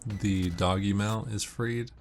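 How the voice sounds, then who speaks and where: A man doing casual video game walkthrough commentary, explaining tips in a measured way. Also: lowish voice, steady tone, a little hiss or hum. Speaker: gamer giving walkthrough commentary.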